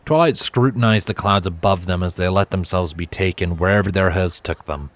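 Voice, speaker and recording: A man's voice: deep voice, brisk, dry recording. A man reading a story aloud as narrator, in scripted storybook narration. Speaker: narrator, fan fiction reader.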